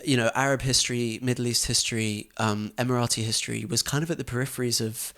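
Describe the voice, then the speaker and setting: A young man with a gravelly edge to his mid-pitched voice talks in a casual interview. He halts often, restarts his sentences and fills gaps while he gathers his thoughts. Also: steady tone, fast, a bit bright. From grew up in Dubai, UAE, to a British family. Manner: hesitant, many restarts and fillers. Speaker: guest, photographer and radio documentary producer. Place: in London.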